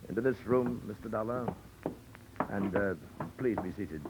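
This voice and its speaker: An actor playing a pompous, suave big-game hunter villain with florid, mock-courteous speech, in 1940s radio drama style. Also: male voice, fairly low pitch, normal speed, lightly acted. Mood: smooth menace. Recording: noisy old 1940s radio recording.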